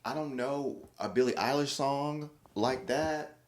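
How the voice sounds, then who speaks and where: A man hosting a solo podcast, talking casually in a rambling, chatty way with many restarts and lively quoted voices. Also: mid-range voice, takes it slowly, roomy sound. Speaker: podcast host.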